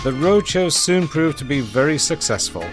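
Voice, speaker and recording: A man's voice: fairly high pitch, lively intonation, moderate pace. Older male radio DJ, chatty and reminiscing, talking over music. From northwest England. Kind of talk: radio show presenting. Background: music under the talk.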